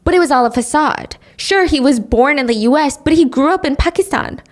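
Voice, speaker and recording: Woman host, true crime chat, sarcastic and animated, slightly high voice. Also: a little fast. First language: English. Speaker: podcast host.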